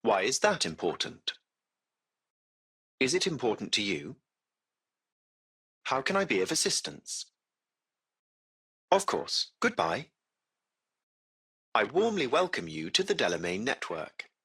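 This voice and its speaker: A polite ai cab driver from a game, male, formal butler talk, slightly husky n gravelly, curious. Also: mid-range voice, wildly swooping pitch, unhurried, pauses often, pushed and bright, heavily acted. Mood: measured, polite. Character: courteous, curious AI taxi chauffeur, male-voiced machine. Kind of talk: scripted video game character dialogue.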